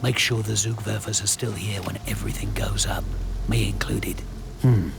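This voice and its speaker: Gravelly soldier, terse. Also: male voice, fairly low pitch, steady tone, normal speed, background noise. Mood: grim resolve. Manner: terse, muttering to himself. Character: gritty, determined British special-operations sniper in wartime. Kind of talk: cinematic video game acting, muttered monologue.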